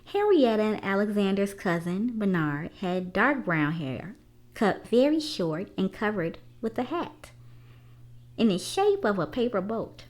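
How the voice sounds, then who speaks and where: A woman reading a children's book aloud in an expressive storytelling style, pausing deliberately between phrases. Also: mid-range voice.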